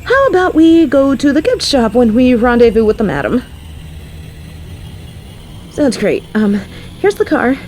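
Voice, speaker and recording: A woman's voice: sits high, big pitch swings, unhurried, pauses often, slight background noise. Unhinged, wildly swinging mafia boss lady. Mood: sweet, apologetic. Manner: theatrical, swinging from sweet cooing to manic shouting and muttering. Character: flirty, unhinged, possessive female mafia boss, manic killer. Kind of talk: roleplay audio drama acting.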